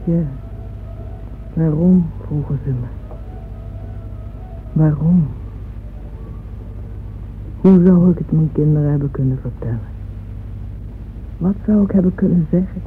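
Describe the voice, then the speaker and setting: A woman's voice: deep voice, takes it slowly, pauses often. An actress playing an ageing, devoted and wistful wealthy mother in a radio play, speaking emotively and intimately. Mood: wistful, confiding. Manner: emotive, intimate, with hushed asides. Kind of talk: dramatic radio play monologue. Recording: muffled phone-like old radio recording, music behind.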